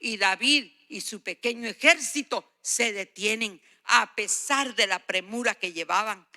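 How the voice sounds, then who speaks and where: A woman's voice: fairly high pitch, unhurried, bright, forceful tone. A woman in her sixties or older preaching a sermon, emphatic and exhorting, repeating phrases for weight. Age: 60s or older. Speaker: guest preacher.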